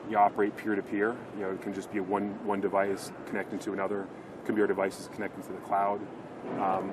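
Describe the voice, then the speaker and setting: Low, even-toned man in corporate interview. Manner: earnest corporate sales talk. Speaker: company representative being interviewed. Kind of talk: informal business interview at a trade show. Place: trade show floor. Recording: clear show-floor background noise.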